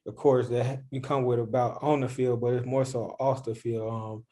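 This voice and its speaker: A man's voice: medium pitch, little pitch movement, moderate pace, dark tone. A young man from Chicago giving a conversational answer as a panelist. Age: young adult. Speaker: panelist, former youth baseball player.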